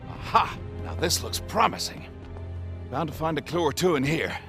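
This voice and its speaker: An actor playing an excitable, determined older scientist in a video game, with an emphatic, articulate delivery. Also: male voice, on the high side, moderate pace, music in the background. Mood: eager, curious. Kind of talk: video game cutscene acting.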